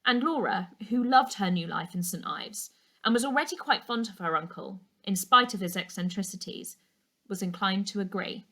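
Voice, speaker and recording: A woman teacher reading a storybook aloud to children, with clear, expressive storytelling. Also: mid-range voice, normal speed. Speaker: primary school teacher reading a class book aloud.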